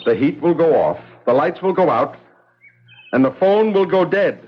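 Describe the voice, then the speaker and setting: A man's voice: on the high side, lively intonation, moderate pace, slight background noise, close to an everyday voice. An actor playing a haunted, intense male weapons scientist in a 1970s radio drama, speaking emphatically and dramatically. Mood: insistent. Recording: muffled 1970s radio, faint music.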